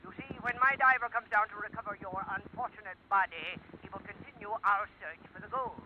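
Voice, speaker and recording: An actor playing a pompous, crafty older seafaring villain in an old radio drama, with a florid, formal manner. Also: male voice, high voice, fairly quick, phone-quality sound, lightly acted. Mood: smug, menacing. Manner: florid, formal, theatrical, chuckling. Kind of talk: old-time radio detective drama acting. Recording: band-limited noisy old radio recording.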